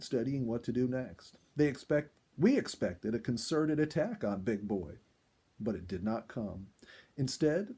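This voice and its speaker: Animated, gravelly male storyteller. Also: medium pitch. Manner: expressive, acts out dialogue and exclamations. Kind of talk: dramatic narrated animal story read aloud, first person.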